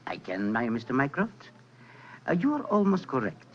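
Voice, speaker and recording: A man's voice: mid-range voice, huge pitch swings, moderate pace, breathy edge. An actor playing a suave, learned foreign statesman in an old radio drama, formal, guarded and precisely polite. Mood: suavely polite. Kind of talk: 1940s radio acting. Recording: muffled, hissy old-time radio recording.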